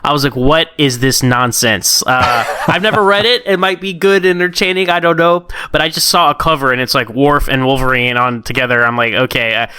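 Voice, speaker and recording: Bright, clear-voiced man chatting enthusiastically. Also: medium pitch, a little fast, hardly any pauses, no room sound. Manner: animated, rambling, repeats words mid-thought. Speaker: podcast guest commentator on comics. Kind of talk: enthusiastic casual discussion.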